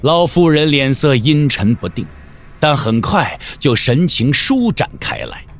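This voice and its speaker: A man's voice: mid-range voice, big pitch swings, unhurried. Guy narrating a wuxia story, really dynamic delivery, dramatic, bit of music underneath.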